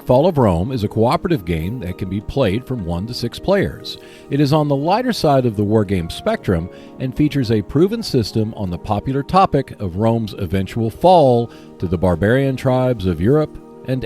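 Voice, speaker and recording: A man hosting a hobby podcast, reading scripted announcements in a measured, clearly enunciated way. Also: medium pitch, mellow. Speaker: podcast host.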